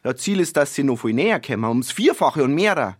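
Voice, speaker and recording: A man's voice: medium pitch, sing-song, brisk, no room sound, clean studio sound. A man voicing an eager young stargazer in his own voice, with an explaining manner.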